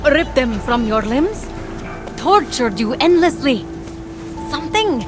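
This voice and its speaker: A woman's voice: high voice, sing-song, takes it slowly. A woman voicing a wry, eager game hero. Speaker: game protagonist. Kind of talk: video game dialogue. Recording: game audio with music underneath.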